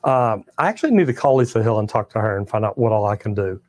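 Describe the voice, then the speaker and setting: A man's voice: lowish voice, expressive, quick pace, a little mellow. An older man with a Texan accent, a master plumber hosting a casual livestream and answering chat questions in a folksy, plainspoken way. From Texas. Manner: folksy, plainspoken, drawling storyteller. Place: studio set with shelves of tools and merch. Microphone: clip-on lapel mic.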